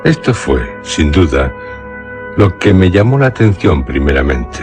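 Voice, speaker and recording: A man narrating a literary tale for radio theatre in a solemn, deliberate manner with dramatic pauses. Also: low-pitched, sing-song, over music. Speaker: radio storyteller.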